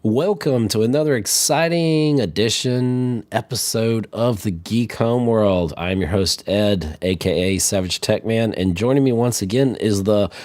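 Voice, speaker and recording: A man with a cold hosting a podcast, talking in a deep, hoarse voice and rambling casually off on tangents. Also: a little slow, few pauses, no echo. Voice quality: deep, dark voice. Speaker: podcast host.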